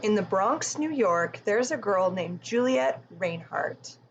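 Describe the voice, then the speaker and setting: A woman's voice: mid-range voice, lively intonation, takes it slowly, muffled recording, music in the background. A woman narrating a children's book as a scripted read-aloud. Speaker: storybook narrator.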